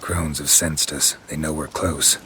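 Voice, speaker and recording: Terse, low-voiced monster hunter. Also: male voice, unhurried, slight background noise, exaggerated voice. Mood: grim urgency. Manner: terse, deadpan. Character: weary, stoic monster-hunting witcher man. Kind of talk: dramatic video game acting. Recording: music behind the voice. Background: game music.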